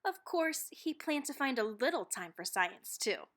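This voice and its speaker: A woman reading a children's picture book aloud expressively, with dramatic, deliberate emphasis on key phrases. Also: sits high, big pitch swings, a bit bright. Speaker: read-aloud narrator.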